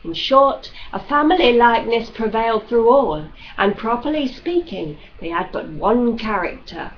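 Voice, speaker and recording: Slow-paced woman reading a classic novel. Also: on the high side, animated. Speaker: audiobook reader. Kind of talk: scripted narration of a classic novel. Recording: dull lo-fi audio with background noise.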